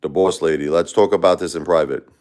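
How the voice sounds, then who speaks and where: Deep-voiced New man, rambling livestream talk. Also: fairly even intonation, fast, darkish tone. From Brooklyn, New York. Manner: rambling, repeats words and restarts, addresses chatters by name. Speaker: livestream host. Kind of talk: casual livestream chat with viewers.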